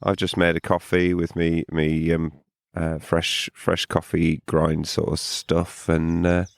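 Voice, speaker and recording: A man with a very low, hoarse morning voice talks his way through a relaxed camping chat. He rambles and repeats himself in a laid-back way. The recording is close and dry. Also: takes it slowly, no room sound. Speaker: podcast co-host. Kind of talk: casual chat outdoors at a campsite.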